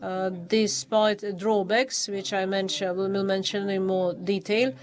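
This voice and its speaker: A man reads out his formal review at a doctoral defense. He speaks hesitantly in English, with frequent pauses and false starts. His pitch stays flat and level throughout. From Poland. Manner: halting, many hesitations and restarts. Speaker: official reviewer at a thesis defense.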